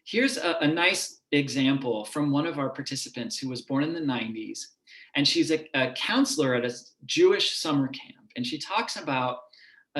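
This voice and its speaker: A man, a university professor, giving a measured, explanatory lecture to a public audience. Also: on the high side, fairly bright tone. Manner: measured and explanatory, with occasional restarts. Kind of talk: lecture presentation. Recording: echoey room.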